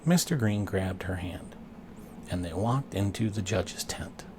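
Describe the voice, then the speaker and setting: A man reading a children's picture book aloud, expressively and with deliberate pauses. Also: low voice, expressive, darkish tone, slight background noise. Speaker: storybook reader and dad.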